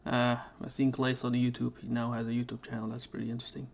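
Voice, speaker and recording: A young man talks casually over a game at home into a desk mic, and he rambles and hesitates with plenty of fillers. His throat is irritated, so he keeps clearing it. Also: medium pitch, completely flat delivery, quick pace. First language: Dutch. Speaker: gaming YouTuber. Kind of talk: casual let's play commentary. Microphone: desk microphone.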